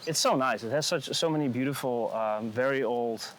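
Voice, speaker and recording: A man with a Dutch accent speaks at a middling pitch, easygoing and unhurried. He often pauses to find the right English word. He is walking outdoors along a sunny street, with faint noise around him. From Netherlands. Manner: laid-back, unhurried, searching for words. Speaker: music producer, guest. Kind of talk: casual interview chat.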